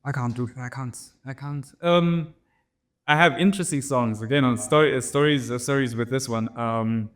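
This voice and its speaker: A man's voice: mid-range voice, normal speed. A man in his thirties with a slight German accent, a DJ telling stories about his records in a casual interview, rambling and tagging his sentences with "right?". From Germany and Namibia. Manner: rambling, many restarts and "right?" tags. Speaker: DJ guest.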